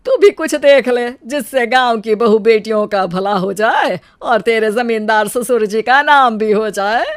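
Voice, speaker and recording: A woman's voice: a little high, unhurried, close to an everyday voice. A woman playing a kindly, well-off village mother-in-law in a cartoon story, giving indulgent advice. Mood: encouraging.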